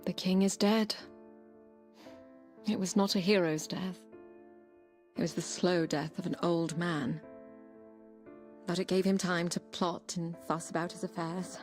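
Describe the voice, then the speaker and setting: Woman doing young blind princess for an audio game, bit low, wry n bitter but fragile, faint music behind. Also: steady tone, a little slow, long pauses, natural voice. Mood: somber, wry. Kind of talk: dramatic audio game narration and dialogue.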